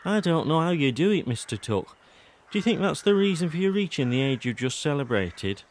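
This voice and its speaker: A man's voice: on the high side, big pitch swings, fairly quick, voice pushed up. A man voicing a polite young newspaper reporter in an audio drama. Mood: polite, chatty.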